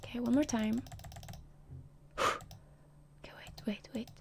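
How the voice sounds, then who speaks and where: A woman's voice: a little high, fairly even intonation, takes it slowly, gravelly, breathy edge, whispered. A woman streamer chatting casually between comic readings, jokey and giggly.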